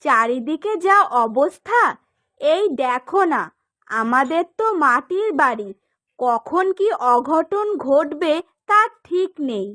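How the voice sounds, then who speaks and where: A woman's voice: high-pitched, very animated, slow pace, sharp tone, exaggerated voice. A woman with a Bengali accent playing a concerned, homely village housewife in a folk-tale drama. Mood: worried.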